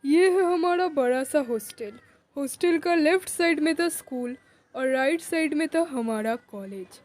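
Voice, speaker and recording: Woman storyteller for a cartoon, high register, scripted first-person narration as a young man. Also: animated, measured pace, darkish tone.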